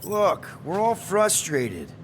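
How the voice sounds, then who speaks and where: High-pitched, frazzled space captain. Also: male voice, wildly swooping pitch, takes it slowly, a little hiss or hum, full character voice. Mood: friendly, tired. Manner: casual, hesitant, exasperated. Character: frazzled, good-natured young male space crew leader. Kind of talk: video game cutscene acting.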